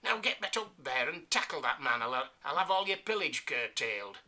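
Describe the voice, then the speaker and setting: A man's voice: a little high, sing-song, normal speed, bright, forceful tone, full character voice. A man doing a blustering, dim prince. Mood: scolding. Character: thick, pompous medieval male prince.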